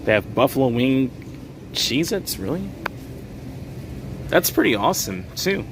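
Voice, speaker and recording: A man talks casually to his livestream viewers as he walks the aisles of a grocery store. His voice sits at an ordinary middle pitch, relaxed and chatty, with plenty of fillers. Clear store background noise runs under every line. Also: unhurried. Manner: chatty, reads chat names aloud, frequent fillers and small laughs. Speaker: livestream host. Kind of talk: casual live-stream chat while shopping.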